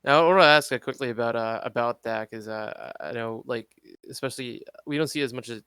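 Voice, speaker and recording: A man co-hosting a gaming podcast, asking relaxed questions with small pauses. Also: mid-range voice, smooth, no echo, studio quality. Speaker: podcast co-host. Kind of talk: casual podcast interview.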